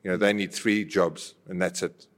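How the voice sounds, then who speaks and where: A man with a low South African voice talks casually about sport. He sounds conversational and anecdotal, with a long room reverb around his words. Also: steady tone, moderate pace, fairly bright tone. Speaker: former rugby player and podcast regular. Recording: roomy hall-like reverb.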